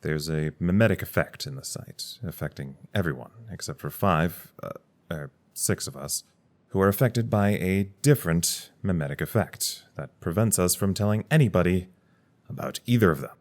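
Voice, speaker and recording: A man's voice: low voice, animated, moderate pace, darkish tone. A man playing a wry, irritated staffer at a secret research site, rambling and sardonic in a naturalistic audio drama style. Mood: wry frustration.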